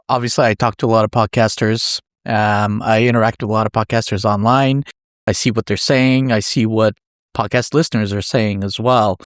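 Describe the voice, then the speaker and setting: Male podcast interviewer, lowish register, measured and thoughtful conversational delivery, completely dry sound. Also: no room sound.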